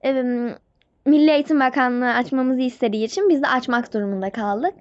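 A woman's voice: high voice, a little fast, voice pushed down, natural voice. Woman as a chatty, polite kindergarten parent. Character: chatty, appreciative parent greeting the kindergarten teachers. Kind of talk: casual hobby doll-game roleplay. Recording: muffled audio under loud music. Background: loud music.

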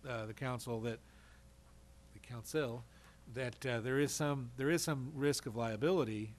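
A man's voice: mid-range voice, a little slow, a little hiss or hum. A man advising a council in a public meeting, explaining things carefully, step by step.

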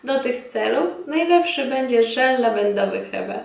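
A woman in her thirties giving formal, measured scripted instructions as a trainer in a small indoor room. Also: on the high side, animated, vocal fry. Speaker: instructor presenting a beauty device. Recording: roomy echo, distant mic.

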